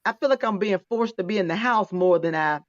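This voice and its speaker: A talkative woman in her fifties hosts a relaxed conversation, laughing easily between thoughts. Her voice comes through a dry, dull tablet microphone with no room sound. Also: medium pitch, fairly quick, dry recording. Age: 50s. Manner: chatty, laughing, restarts often. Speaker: podcast host. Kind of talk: casual interview chat.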